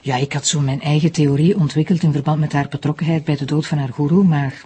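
Low husky magistrate. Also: female voice, steady tone, fast, dark tone. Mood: probing, surprised. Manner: questioning, probing. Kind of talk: TV crime drama dialogue.

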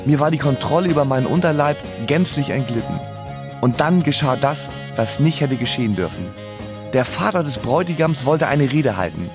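A man's voice: mid-range voice, expressive, normal speed, over music. A man narrating his own story in a comic radio play with wry, literary phrasing. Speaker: first-person narrator.

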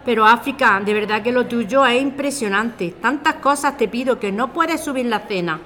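A woman's voice: fairly high pitch, normal speed, sharp tone. An actress playing a harried, exasperated working mother in an audio drama.

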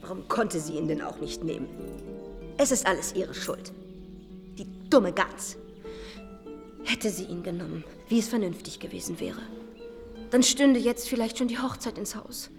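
A woman's voice: medium pitch, animated, normal speed, vocal fry, long pauses, darkish tone. Reverberant worldly young society lady. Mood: reproachful. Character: worldly, self-assured young society lady, coolly unrepentant. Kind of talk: radio drama acting. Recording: long reverb with music behind.

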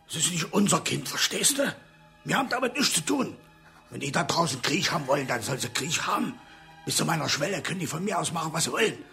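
A man's voice: fairly high pitch, lively intonation, fast talker, gravelly, sharp tone, soft background music, full character voice. A man playing an irritable, defensive husband in a radio drama, agitated and emphatic. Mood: defiant. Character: defensive, irritable husband barricading himself from trouble. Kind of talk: German radio drama acting.

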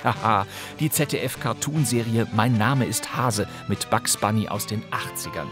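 A middle-aged man hosting a podcast with scripted, playful narration, wry and given to theatrical emphasis and pauses. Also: on the low side, over loud music. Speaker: podcast host. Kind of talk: scripted, playful presentation on radio drama history.

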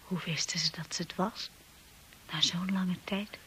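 An actress in a Dutch radio drama playing an insecure, earnest young wife who speaks in a hesitant, confiding way, often hushed. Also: female voice, fairly low pitch, steady tone, unhurried, husky, heavily acted. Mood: hesitant, probing.

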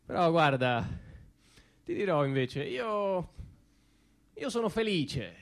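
An actor playing a neurotic, anxious everyman in a theatrical comic monologue, halting and muttering, then frantically exclaiming. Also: fairly high pitch, sing-song, exaggerated voice. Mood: musing, envious. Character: neurotic, self-deluding everyman, anxious and easily queasy. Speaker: stage monologist.

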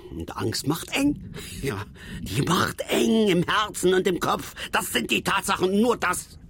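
Brooding old angler, swinging pitch. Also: male voice, very animated, measured pace, creaky, fairly bright tone, slight background noise, heavily acted. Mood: agitated, insistent. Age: older. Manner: rambling, insistent, self-repeating. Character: brooding, fearful old angler, stubborn family patriarch. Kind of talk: naturalistic German radio drama acting.

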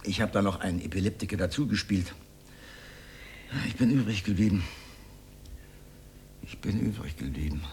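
An actor playing an older communist and failed stage actor in a radio drama, sardonic, defiant and declamatory. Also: male voice, on the low side, moderate pace, long pauses, darkish tone, slight background noise, natural voice. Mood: proud, haunted. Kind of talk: radio drama monologue, theatrical.

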